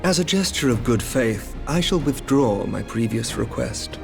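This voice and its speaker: An actor playing a shrewd, composed middle-aged knight commander who speaks formally and measuredly in archaic diction. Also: male voice, mid-range voice, lively intonation, measured pace, vocal fry, over music. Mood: calm, diplomatic. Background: orchestral game score.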